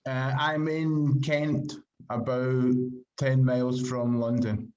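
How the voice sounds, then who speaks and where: On a slightly muffled, lo-fi recording, a middle-aged Scottish man talks at a medium pitch. He answers casually, as a guest in an interview. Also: level tone, takes it slowly, darkish tone. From Glasgow, Scotland.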